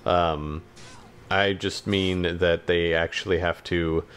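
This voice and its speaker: Man, deep low voice, podcast co-host, casual conversation, rambling and hesitant. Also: level tone, takes it slowly, fairly bright tone, slight background noise. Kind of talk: casual chat.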